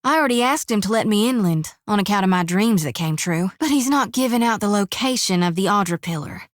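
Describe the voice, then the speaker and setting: An actress voicing a folksy, earnest young priestess of a death god in a video game, with a drawl, rambling and plainspoken. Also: female voice, medium pitch, expressive, a little fast, no room sound, studio quality, close to an everyday voice.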